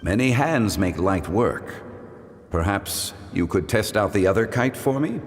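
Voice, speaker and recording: A man voicing a calm, dignified, learned figure in a video game, speaking in a measured, formal way. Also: on the low side. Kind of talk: game voice acting.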